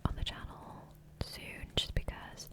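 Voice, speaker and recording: A woman whispering softly and breathily in a casual, rambling ASMR style, gentle and unhurried, close to the microphone. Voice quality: soft breathy unvoiced whisper. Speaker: ASMR gaming content creator and host. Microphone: close USB condenser microphone.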